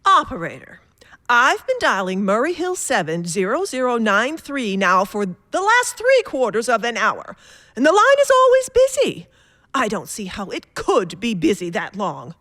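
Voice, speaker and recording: An actress playing an anxious, querulous invalid wife in a radio drama, fretful and insistent. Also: female voice, a little high, huge pitch swings, unhurried, sharp tone, no echo, clean studio sound, exaggerated voice. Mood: fretful, impatient.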